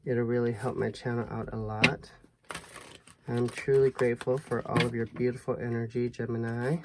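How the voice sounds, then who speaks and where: A man giving a tarot reading to viewers in a soft, musing way, his voice a little hoarse. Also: medium pitch, level tone, moderate pace, background noise. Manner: soft, musing, thinks aloud with fillers. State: slightly hoarse, losing his voice. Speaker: tarot reader.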